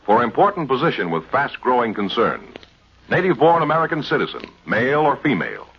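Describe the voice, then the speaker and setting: A man's voice: mid-range voice, wildly swooping pitch, quick pace, a little hiss or hum. A man reading a scripted announcement as a 1950s radio announcer. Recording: dull 1950s radio broadcast recording.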